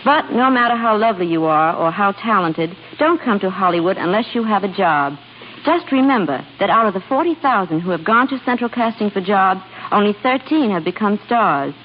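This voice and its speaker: A woman's voice: medium pitch, lively intonation, fairly quick. A woman giving a scripted, formal and instructive talk as a guest on 1930s radio. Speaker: guest casting director. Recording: dull, noisy 1930s radio broadcast.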